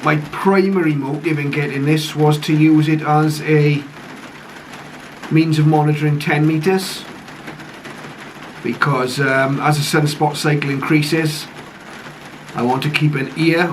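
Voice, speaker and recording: A man giving a casual, low-key first-look commentary on a piece of equipment, speaking hesitantly and without hurry. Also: fairly even intonation, a little slow. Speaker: radio hobbyist reviewer. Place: conservatory, later a home radio room. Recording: clearly noisy home recording.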